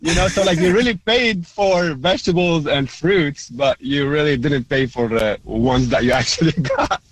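A man's voice: a little high, normal speed, poor audio. A man whose first language is Arabic, calling in to a radio show and chatting casually, rambling with many fillers and frequent laughs. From Lebanon. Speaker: caller. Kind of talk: casual radio call-in chat.

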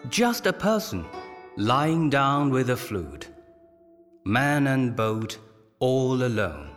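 Stately emperor in echoing hall. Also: male voice, medium pitch, sing-song. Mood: appraising. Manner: measured, stately, critical. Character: discerning, regal male Chinese emperor judging paintings. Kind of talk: radio drama acting. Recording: long hall reverb, music behind.

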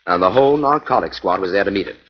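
A confident, deep-voiced detective hero. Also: male voice, animated, quick pace, breathy edge, full character voice. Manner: confident, assured, gently teasing. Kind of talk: 1940s radio acting. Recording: muffled 1940s radio recording.

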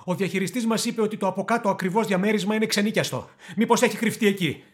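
Dutiful junior police officer. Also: male voice, high voice. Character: deferential young male junior police officer. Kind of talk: radio play acting.

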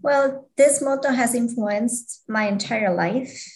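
A woman's voice: fairly high pitch, slow pace, a little mellow, rough recording. A woman from Switzerland, an interview guest, speaking with frequent restarts and non-native grammar. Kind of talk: casual interview talk.

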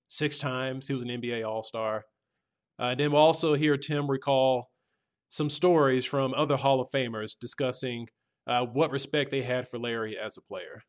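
An American man with a medium-pitched voice hosts a podcast. He introduces and links interview segments in a conversational, narrated style. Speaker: podcast host.

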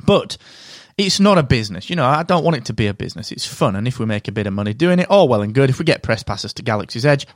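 A man hosting a solo podcast, giving direct, energetic advice while sounding slightly nasal from a cold. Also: mid-range voice, fast, no room sound, studio quality. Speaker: podcast host.

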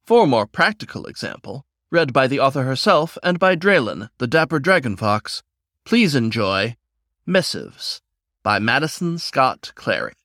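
A man's voice: medium pitch, sing-song, a little slow, sharp tone, no echo. A man hosting a story podcast who gives a composed, scripted introduction. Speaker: podcast host. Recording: dry studio voice over faint music. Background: faint music.

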